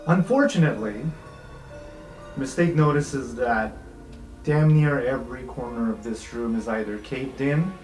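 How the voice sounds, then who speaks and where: A man narrating an improvised tabletop game as game master, in a casual, conversational way with some swearing. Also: mid-range voice. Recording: roomy, music underneath. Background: background music.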